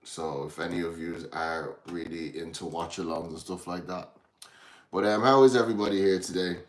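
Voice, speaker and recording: Low-voiced Irish man chatting on a livestream. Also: fairly even intonation, moderate pace. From Ireland, from Dublin. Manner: rambling, distracted, breaking off to greet viewers. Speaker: livestream host. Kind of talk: casual livestream chat with viewers.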